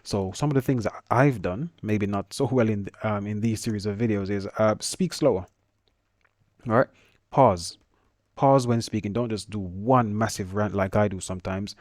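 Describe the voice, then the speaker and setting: A man with a Jamaican accent walks students through a document on his screen. His voice sits on the low side and comes across dry and close, with no room around it. He talks quickly, restarting words and stuttering now and then. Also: a little mellow, no echo. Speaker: teacher. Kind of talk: screen-share tutorial.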